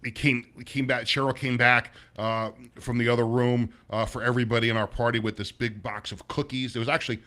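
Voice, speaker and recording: Raspy-voiced man hosting sports talk. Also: mid-range voice, little pitch movement, a little fast, few pauses, sharp tone. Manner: rambling, many restarts and fillers. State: sluggish, low energy. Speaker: sports radio host. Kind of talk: casual sports talk show chat.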